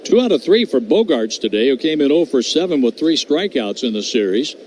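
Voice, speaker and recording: Man calling baseball play-by-play, noisy feed. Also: mid-range voice. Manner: steady measured delivery of stats and calls. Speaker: play-by-play announcer. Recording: noisy broadcast audio.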